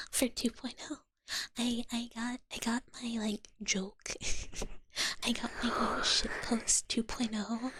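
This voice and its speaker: A young woman whispering softly to her stream chat in an ASMR style, giggling often. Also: on the high side, level tone, drawn-out words, long pauses, breathy edge, dry recording. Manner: soft whisper with frequent giggles.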